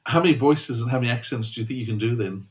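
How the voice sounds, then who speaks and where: A man's voice: medium pitch, very fast. A man hosting a podcast interview, putting casual questions and remarks to his guest.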